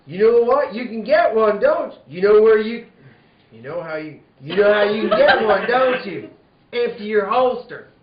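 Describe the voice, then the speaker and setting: A man's voice: high voice, animated, moderate pace, a crowd in the background. A man playing a bold, cool-headed cowboy hero in a radio western, wry, folksy and unflappable. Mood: defiant. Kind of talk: 1940s radio western acting. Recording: roomy, dull lo-fi recording.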